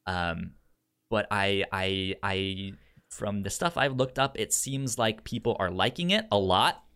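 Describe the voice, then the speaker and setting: Male podcast co-host, lowish voice, unhurried and halting, marked stutter with repeated syllables, casual pitch style. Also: a bit bright, smooth.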